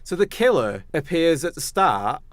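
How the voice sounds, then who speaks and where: A man's voice: a little high, big pitch swings, normal speed, dry recording. A man co-hosting a podcast, talking casually through film news. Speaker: podcast co-host.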